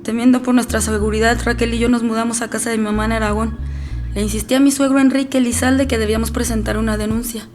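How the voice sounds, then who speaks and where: An actress playing a worried, suspicious young wife, giving testimony in an audio drama. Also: female voice, fairly high pitch, steady tone, quick pace, a little mellow, a little hiss or hum, close to an everyday voice.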